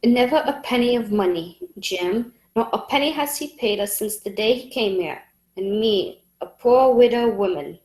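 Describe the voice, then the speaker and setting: A worried widow innkeeper acted by a woman in a radio drama, heard in a roomy echo. The voice is fairly high and plaintive, full of complaint. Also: animated, moderate pace, darkish tone, close to an everyday voice. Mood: plaintive, fearful. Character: poor, anxious widowed innkeeper mother, complaining. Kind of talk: radio play acting. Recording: roomy, echoing sound.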